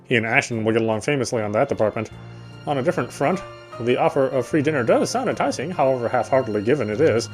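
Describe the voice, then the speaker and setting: Male game player as narrator: rapid read-aloud delivery with occasional stumbles, music underneath. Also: mid-range voice, expressive. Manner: stumbles and rereads phrases. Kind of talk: reading visual novel narration aloud.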